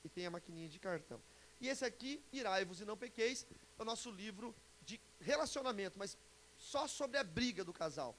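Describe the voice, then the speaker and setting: A man's voice: sits high, animated, brisk, fairly bright tone, low-quality recording. A Brazilian man in his forties preaching and teaching informally to a congregation in a chatty, joking way, often putting questions to his listeners. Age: 40s. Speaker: pastor and conference speaker. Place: church conference before an audience. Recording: live talk with background hiss.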